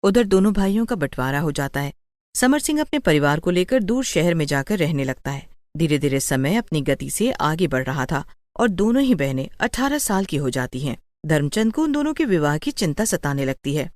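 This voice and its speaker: A woman's voice: sits low, big pitch swings, normal speed, darkish tone, no echo. A woman narrating a scripted story as a storyteller.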